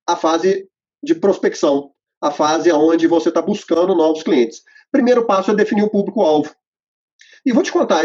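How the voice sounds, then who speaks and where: A man with a husky, rather high voice walks through a method step by step, pausing on fillers as he explains. The recording sounds muffled and lo-fi. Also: darkish tone. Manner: explanatory, enumerating steps, frequent fillers. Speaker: host presenting to accountants. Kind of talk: instructional conversation. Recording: muffled lo-fi audio.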